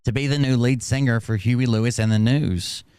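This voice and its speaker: A man's voice: medium pitch, fairly even intonation, moderate pace, a little mellow, a little hiss or hum. A man with a nasal voice hosting a livestream, giving casual reaction commentary with dry, teasing remarks. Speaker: livestream host.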